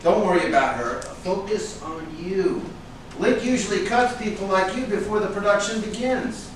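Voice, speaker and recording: In a very echoey room, far from the mic, an actor plays a brash male film agent with a high-pitched voice. He is pushy and emphatic, hectoring and excitable in a theatrical stage-reading style. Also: moderate pace, lightly acted. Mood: firm, reassuring. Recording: echoey room, distant mic, background hiss.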